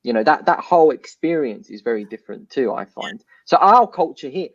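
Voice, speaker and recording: Animated man from South London. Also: mid-range voice, fairly quick, highs cut off. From South London, England. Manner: animated, restarts often, thoughtful. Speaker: guest. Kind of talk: casual discussion.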